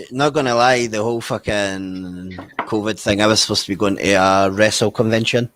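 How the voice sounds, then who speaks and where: A man's voice: fairly low pitch, normal speed, a bit bright. A man with a Scottish accent chatting casually on a livestream, telling stories full of fillers and swearing. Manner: sweary, filler-heavy storytelling.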